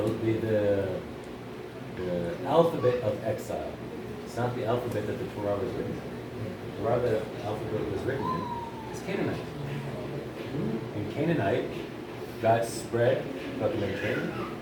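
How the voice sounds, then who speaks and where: A man who grew up speaking Hebrew, teaching a group informally about scripture, often repeating and restarting words. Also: on the low side. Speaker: Bible teacher. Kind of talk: informal teaching talk with audience. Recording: echoey distant room recording, noisy.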